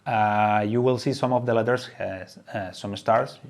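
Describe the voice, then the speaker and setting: A man's voice: on the low side, fairly even intonation, measured pace. A man presenting an unscripted how-to explanation of a game. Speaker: presenter of a board game tutorial.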